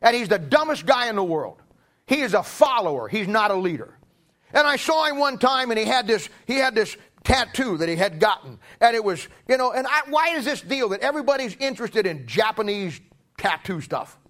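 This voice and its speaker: A middle-aged man delivers a sermon in a notably high-pitched voice. He preaches in a folksy, emphatic manner, working through anecdotes and repeating key phrases to drive them home. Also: sing-song, a little fast, a bit bright.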